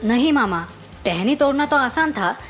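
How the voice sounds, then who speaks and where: A woman's voice: fairly high pitch, animated, moderate pace, a bit bright, faint music behind. A woman voicing a tired, whining young boy in a cartoon moral story.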